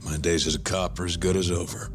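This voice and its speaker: An actor playing a disillusioned former cop in a video game cutscene, speaking in a dry, probing way. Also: male voice, sits low, flat delivery, fast, close to an everyday voice. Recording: dialogue over game music.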